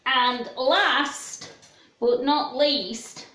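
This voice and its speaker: A woman presenting a children's storytime, chatting in a gentle sing-song way and putting questions to young listeners. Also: high-pitched, drawn-out words. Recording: roomy, echoing room sound.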